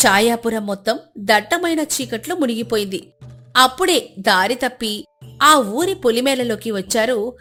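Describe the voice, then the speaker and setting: Woman storyteller, high-pitched, scripted narration, faint music bed. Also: lively intonation, moderate pace, sharp tone.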